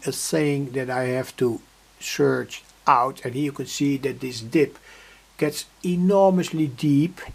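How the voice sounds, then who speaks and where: Male hobbyist demonstrator, creaky low-key delivery, slow and halting, explaining a bench setup off the cuff. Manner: halting, searching for words, explanatory. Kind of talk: unscripted workbench demonstration vlog. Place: indoor hobby workbench.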